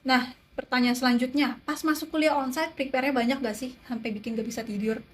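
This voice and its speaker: A woman's voice: sits high, steady tone, fast talker. A young woman chats informally as a student radio co-host, speaking straight to listeners and repeating her phrases. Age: young adult. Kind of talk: casual chat. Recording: clear background noise, room ambience.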